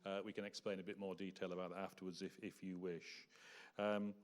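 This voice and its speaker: A man giving a presentation at a public meeting in a hall, measured and hesitant with frequent ums and uhs. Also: deep voice, level tone. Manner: measured, hesitant with many fillers.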